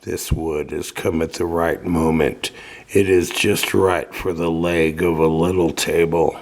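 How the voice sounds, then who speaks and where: A man's voice: sits low, little pitch movement, a little slow, a little mellow, pitched below the performer's own voice. A man voicing an old, easily rattled carpenter who talks to himself in a storybook reading. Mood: satisfied. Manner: muttering to himself, self-reassuring. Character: good old carpenter, bewigged, easily frightened, muttering to himself. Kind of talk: storybook character voice.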